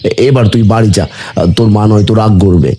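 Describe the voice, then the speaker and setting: A man's voice: fairly low pitch, lively intonation, quick pace, mellow. A man voicing a kindly, easygoing village father in a cartoon story, homely and reassuring.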